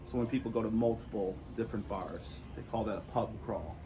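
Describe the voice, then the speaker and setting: A man's voice: fairly low pitch, a little fast, mellow, slight background noise. A man from Chicago with a Midwest American accent, chatting casually as a language student and joking along. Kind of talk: casual chat.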